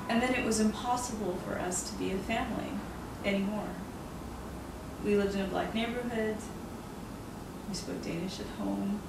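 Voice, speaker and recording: A woman's voice: medium pitch, steady tone, moderate pace, gravelly, pauses often. Woman author, earnest and reflective, laughs easily; distant mic, roomy, noisy room. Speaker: author interviewed about her novel. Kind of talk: casual interview conversation.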